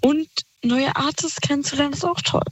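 A woman's voice: high voice, brisk, dry recording. A woman calling in to a radio show on her phone, talking hesitantly with many fillers. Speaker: caller. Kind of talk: phone-in conversation. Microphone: phone held to ear.

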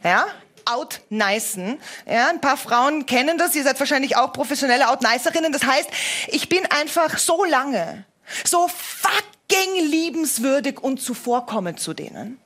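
A woman's voice: high voice, animated, moderate pace, fairly bright tone. A woman in her thirties with a light Viennese accent, performing a comedy monologue on stage. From Vienna, Austria. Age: 30s. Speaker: cabaret comedian.

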